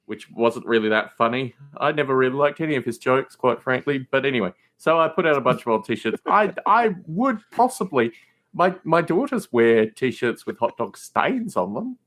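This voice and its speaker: Animated man chatting as a podcast guest. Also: medium pitch, normal speed. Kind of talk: casual chat.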